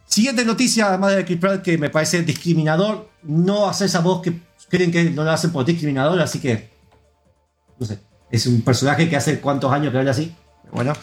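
A man's voice: fairly high pitch, fairly quick, soft background music. A man with an Argentine accent hosting a casual gaming podcast, rambling through news and reading out listener comments. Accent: Argentine Spanish. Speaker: podcast host.

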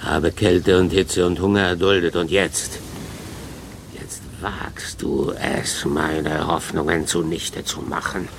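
Radio drama monster, super low n creaky, vengeful and menacing, noisy background. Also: male voice, fairly even intonation, full character voice. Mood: bitter menace. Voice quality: gravelly, breathy whispering. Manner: slow, threatening, halting. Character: vengeful man-made monster, bitter, menacing, wronged by its maker.

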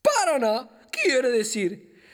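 A woman's voice: high-pitched, takes it slowly, full character voice. A woman playing a friendly, wise capybara who patiently explains things to a child in children's radio theatre, with an Argentine accent. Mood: patient, explaining. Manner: patient, expressive explaining, storyteller-like. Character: friendly wise capybara guiding a little girl.

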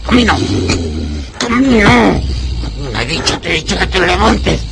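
Bossy harsh master in a radio play, gravelly, kinda high, noisy background. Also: male voice, big pitch swings, normal speed, full character voice. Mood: angry. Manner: urgent, barking commands, repeating himself. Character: harsh, bossy master ordering a wolf dog around. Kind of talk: radio drama acting.

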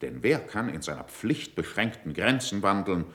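A man's voice: on the low side, very animated, moderate pace, fairly bright tone. An actor playing a stern, eloquent courtier accusing a rival before his prince, in formal, declamatory verse for a classical radio play. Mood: stern indignation. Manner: declamatory, formal, weighty pronouncements.

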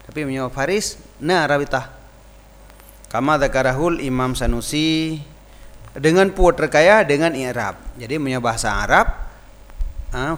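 A man's voice: mid-range voice, fairly bright tone. A man with an Acehnese accent, a native Acehnese speaker, teaching a religious lesson by reading a text aloud and explaining it informally. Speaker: Islamic teacher. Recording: reverberant hall-like echo.

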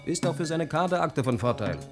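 A man's voice: a little high, big pitch swings, brisk. An actor playing a sarcastic young man who argues dismissively and defensively in a radio play. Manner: dismissive, argumentative. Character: defensive, sarcastic young man excusing his own cowardice. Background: faint music.

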